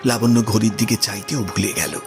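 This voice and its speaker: A man's voice: on the low side, fairly even intonation, a little fast, creaky, over music. A man narrating a literary story expressively for an audio drama.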